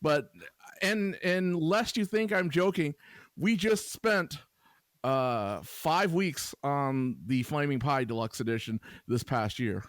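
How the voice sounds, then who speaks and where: A man co-hosting a podcast in casual banter, with a dry, deliberate, playful delivery. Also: fairly high pitch, expressive, a bit bright, no echo. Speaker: podcast co-host guest.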